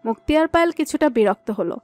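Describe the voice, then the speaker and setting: High-voiced woman narrating a story. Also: expressive, fast, a little mellow, dry recording, faint music behind.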